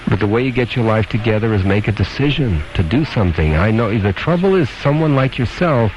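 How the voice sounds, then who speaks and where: Man, radio phone-in host, low voice, blunt questions, opinionated advice, noisy background hiss. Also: animated, a little fast. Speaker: radio call-in show host. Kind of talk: probing phone-in advice conversation. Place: radio studio. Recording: noisy, dull lo-fi AM radio aircheck.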